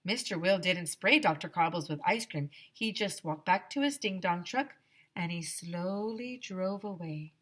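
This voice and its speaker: A woman teacher reading a children's story aloud in an expressive, dramatic, emphatic storytelling manner. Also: on the low side, a little slow.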